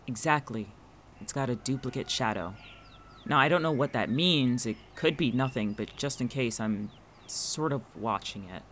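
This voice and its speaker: A man's voice: mid-range voice, animated, normal speed, fairly bright tone, a little hiss or hum, natural voice. A man playing a guarded, watchful friend in an audio drama, speaking conversationally with dry, exasperated sarcasm. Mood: guarded, cautious. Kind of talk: naturalistic audio drama dialogue.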